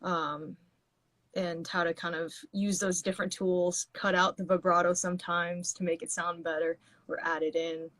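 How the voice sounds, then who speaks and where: A woman's voice: fairly low pitch, flat delivery, normal speed, a bit bright, no echo. Woman musician rambling with restarts. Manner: rambling with many restarts and fillers. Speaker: guest musician. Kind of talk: casual interview chat.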